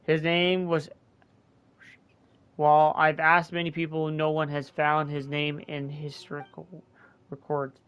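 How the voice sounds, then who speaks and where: A man's voice: on the high side, monotone, measured pace, long pauses, fairly bright tone, smooth, muffled recording. A man voicing a weary, guilt-ridden old scholar of gods, reading earnestly with small restarts. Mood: musing.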